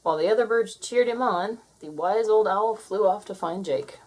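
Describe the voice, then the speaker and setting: A woman reading a children's story aloud as an expressive storyteller, in scripted narration. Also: medium pitch, normal speed, rough recording.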